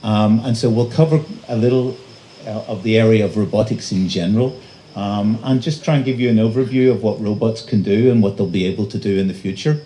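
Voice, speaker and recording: A man giving a public lecture as an invited speaker, presenting slides in a halting, pausing delivery. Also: on the low side, warm tone. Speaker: invited conference speaker, robotics researcher. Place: live talk at a festival venue. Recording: noisy live event recording.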